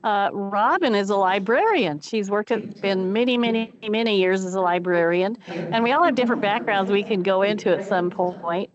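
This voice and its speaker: A woman's voice: mid-range voice, moderate pace, few pauses, highs cut off, crowd noise. An older retired woman chatting through introductions as committee chair on a call. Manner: chatty, friendly, with fillers. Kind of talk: meeting introductions.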